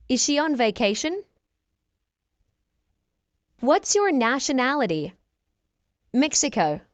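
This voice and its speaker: A woman teaching English, reading short practice dialogues slowly and clearly and repeating each exchange for learners. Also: high voice, no room sound.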